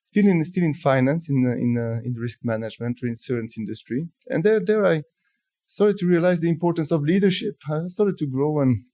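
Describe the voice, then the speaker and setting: Interview guest: French-accented man, forties, mellow low-key tone, dry close sound, measured and halting delivery. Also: expressive, no room sound. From France. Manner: thoughtful, halting, many restarts. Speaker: guest, telecom executive. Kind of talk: conversational interview storytelling.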